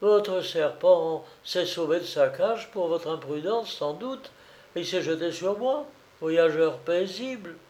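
A man reading a storybook aloud, voicing a cheeky male traveller who protests and lies in excitable, pleading tones. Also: a little high, a little slow, voice pushed up. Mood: defensive. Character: cheeky cornered male traveller, brazen liar.

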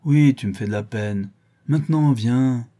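A man voicing a rough but compassionate storybook character who speaks in an earnest, plain-spoken way. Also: mid-range voice, a little slow, dark tone, natural voice. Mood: reassuring. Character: rough, savage-looking man, compassionate and honest.

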